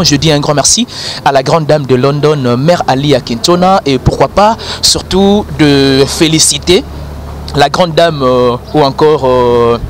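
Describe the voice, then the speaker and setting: Guy hosting culture news, has a cold and a cough, lots of euh, slightly high voice. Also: a little hiss or hum. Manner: formal with frequent hesitations, mixes in Lingala. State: sick with flu and a cough. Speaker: web TV presenter.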